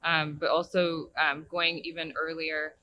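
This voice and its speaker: A man's voice: on the high side, flat delivery, unhurried, sharp tone, slight background noise. A man from Iran speaking on a conference panel, hesitant with stammering starts. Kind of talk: panel discussion. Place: conference stage.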